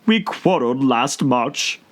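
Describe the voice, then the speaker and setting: A man's voice: medium pitch, lively intonation, slow pace, pitched above the performer's own voice. A man voicing a mad, touchy, mournful hatter with a theatrical, contemptuous manner in a storybook reading.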